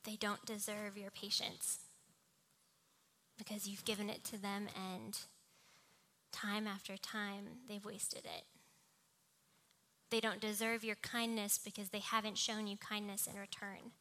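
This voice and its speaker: A woman's voice: mid-range voice, level tone, measured pace, long pauses, sharp tone, slight background noise. A young woman preaching a sermon with personal anecdotes, in a small, cartoonish voice. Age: young adult. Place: live talk before a congregation.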